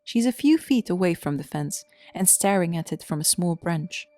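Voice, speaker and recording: Mellow, low-voiced woman narrator. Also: expressive, moderate pace, no echo. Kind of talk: scripted story narration.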